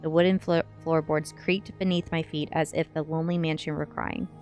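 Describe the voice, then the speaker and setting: A woman reading a first-person story aloud in a measured ASMR narration style. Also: sits low, fairly even intonation, darkish tone, faint music behind. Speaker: streamer reading a novel aloud.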